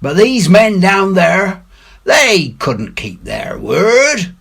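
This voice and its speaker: Storybook villain: ingratiating old sea-rogue played by a male reader, pitched well up, husky, deferential sailor talk. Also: sing-song, very slow, a bit bright, exaggerated voice. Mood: earnest, ingratiating. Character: Long John Silver, wily, ingratiating old pirate. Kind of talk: dramatic storybook character reading.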